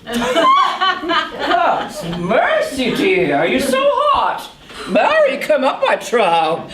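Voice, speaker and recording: A woman's voice: sits high, huge pitch swings, extremely slow, over a noisy crowd, full character voice. A woman playing a grumbling, teasing old nurse. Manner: moaning, giggling, teasing, dragging out words. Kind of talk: stage acting of verse drama. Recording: echoey room with noise behind.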